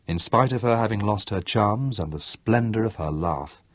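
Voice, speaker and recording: A man narrates a literary novel in a measured storytelling manner, slowing for dramatic effect. His voice sits low and sounds husky, recorded close and dry with no room sound. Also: expressive, no room sound. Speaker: audiobook narrator.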